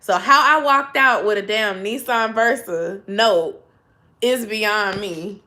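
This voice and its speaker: A woman's voice: medium pitch, takes it slowly, pushed and bright. A woman from Georgia with a Southern accent, chatting casually with viewers on a livestream and greeting them with y'all. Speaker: YouTube host.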